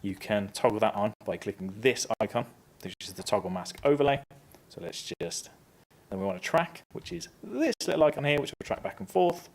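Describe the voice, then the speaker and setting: Upbeat man giving a live software demo. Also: on the low side, animated, a little fast, creaky. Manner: casual and upbeat, steps through clicks. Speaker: presenter. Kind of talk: live software tutorial talk. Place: conference stage.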